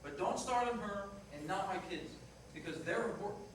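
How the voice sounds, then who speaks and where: A frank, remorseful working-class ex-fiancé, acted by a man in a stage play, plain-spoken and rather high in pitch. The sound is distant, with heavy room echo. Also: lively intonation, moderate pace. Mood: firm, protective. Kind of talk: staged reader's theater dialogue. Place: indoor performance room, distant microphone. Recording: echoey noisy room recorded from far off.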